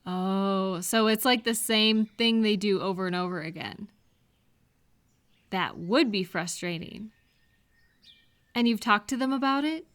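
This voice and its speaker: A woman acting a caring, cheerful friend in a scripted roleplay audio, talking gently and attentively to the listener. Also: mid-range voice, lightly acted. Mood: gentle, concerned. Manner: gentle, unhurried, attentive questioning with pauses for replies.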